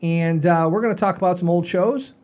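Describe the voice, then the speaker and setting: A man's voice: sits high, brisk, darkish tone. A man in his sixties hosting a casual comedy chat show in a folksy, rambling, enthusiastic way. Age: 60s. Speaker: podcast host.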